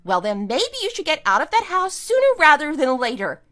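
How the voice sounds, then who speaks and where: A woman's voice: high voice, sing-song, fairly quick, fairly bright tone. A woman playing a scornful, triumphant wife in an audio drama, with a sarcastic, emphatic delivery. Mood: cold, firm. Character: wronged wife, scornful and triumphant, kicking out cheating husband. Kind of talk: amateur audio drama acting.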